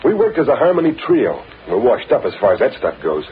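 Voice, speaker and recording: Quick slangy streetwise hustler, cynical, old radio play, tinny sound. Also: male voice, mid-range voice, very animated, husky. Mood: businesslike, wary. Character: fast-talking, cynical male songwriter in a crooked racket. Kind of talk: old-time radio drama acting. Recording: tinny old radio broadcast, faint noise.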